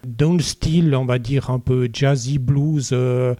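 Brief: musician guest, man, Vaud Swiss accent, mellow, dry close mic, rambling with lots of fillers. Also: mid-range voice, steady tone, a little slow, dry recording. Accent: Vaud Swiss French. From Switzerland. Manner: hesitant, many "euh" fillers, chatty storytelling. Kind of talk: casual interview chat.

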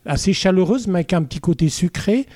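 Mellow-voiced Swiss musician, Vaud accent. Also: male voice, high voice, animated, quick pace, no room sound, clean studio sound. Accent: Vaud Swiss French. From Switzerland. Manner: hesitant, many "euh" fillers, chatty storytelling. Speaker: musician guest. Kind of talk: casual interview chat.

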